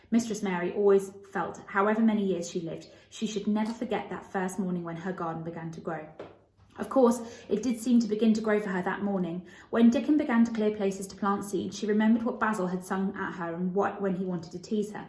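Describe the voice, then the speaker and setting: A woman reads a children's novel aloud as narrator. Her pitch is mid-range, and the room adds a clear echo to the recording. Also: brisk, a little mellow. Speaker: storybook reader. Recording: echoey room.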